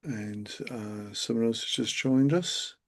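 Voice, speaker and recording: Chatty man hosting a stream. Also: mid-range voice. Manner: chatty, halting, many fillers. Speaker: live stream host, accountant. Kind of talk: casual live webinar talk.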